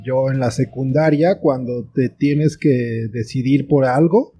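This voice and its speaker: A man with a Mexican accent and a very mellow, dark voice at a middle pitch. He talks as an interview guest in a casual, reflective, explanatory way, over faint music. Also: unhurried. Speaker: interview guest, writer and filmmaker.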